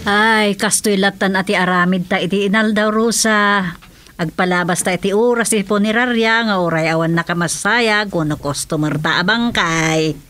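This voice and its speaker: A woman's voice: mid-range voice, normal speed, fairly bright tone, faint music behind, close to an everyday voice. An actress with an Ilocano Filipino accent playing a gossipy middle-aged village mother in a radio comedy drama. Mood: weary, wistful.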